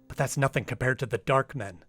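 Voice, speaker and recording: A man's voice: mid-range voice, a little fast, a bit bright, natural voice. A man playing an earnest, anxious trapped diarist in an old-time radio drama, speaking uneasily. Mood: uneasy, confiding. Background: music.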